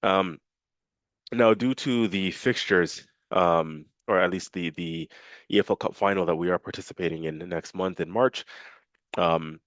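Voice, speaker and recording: A man's voice: sits low, a little slow, a bit bright, no room sound. A man with a nasal, congested voice from a cold, casually previewing a football match for his fan channel with lots of fillers. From Canada. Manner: casual, filler-heavy, repeats himself.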